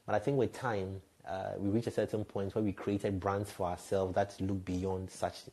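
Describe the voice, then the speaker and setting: Man, 30s, low warm voice; reflective, frank guest telling stories. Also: flat delivery, normal speed. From Ghana. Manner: reflective, frank, laughs lightly at himself. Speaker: podcast guest, radio and TV presenter. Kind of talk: candid conversational storytelling.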